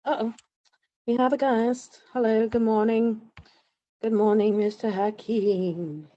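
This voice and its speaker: A woman's voice: a little high, fairly even intonation, slow, warm tone, highs cut off. A woman hosting a podcast about her own stories, chatting casually in an informal, giggly way. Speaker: podcast host and story writer. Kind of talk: casual chat.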